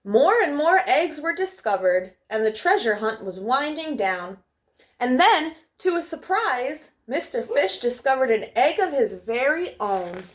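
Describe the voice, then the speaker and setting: A woman hosting children's storytime, expressive and affectionate, speaking simply as she would to young listeners. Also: sits high, a little slow. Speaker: storytime host reading to children. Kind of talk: animated children's storybook reading and friendly address.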